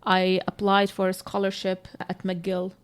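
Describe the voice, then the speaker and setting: Palestinian woman, candid and unhurried. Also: mid-range voice, completely flat delivery, smooth. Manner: candid, searching for words, frequent fillers. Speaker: co-host. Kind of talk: casual podcast conversation.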